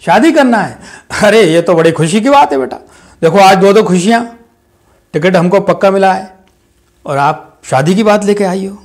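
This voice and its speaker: A man's voice: lively intonation, moderate pace. Man playing a proud, ruthless politician father. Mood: affectionate. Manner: booming and jovial with party workers, dropping to a hushed, cold undertone with family. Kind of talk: dramatic audition monologue.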